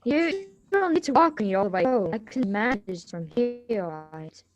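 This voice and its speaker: A woman's voice: a little high, lively intonation, moderate pace, darkish tone, muffled recording, soft background music, natural voice. A woman reading the part of a nervous, evasive teenage schoolgirl in a radio drama, hesitant and apologetic. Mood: politely evasive.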